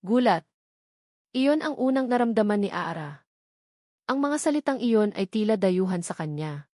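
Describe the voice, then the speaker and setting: A woman's voice: mid-range voice, animated, moderate pace, mellow, no room sound. Filipino woman narrating a story, dry sound. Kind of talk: scripted dramatic storytelling narration.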